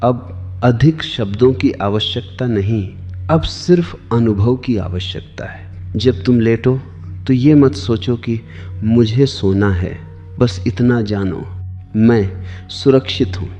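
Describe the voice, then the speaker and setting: A man narrating a guided sleep meditation as spiritual discourse, giving soothing, deliberate instructions in short phrases with pauses. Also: medium pitch, dark tone. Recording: long reverb, slightly dull, music behind.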